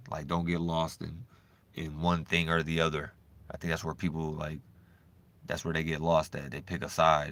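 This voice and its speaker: A man vlogging in a loose, profane, rambling monologue, swinging between animated bursts and low mumbling. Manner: loose, profane and repetitive, full of "you know what I'm saying".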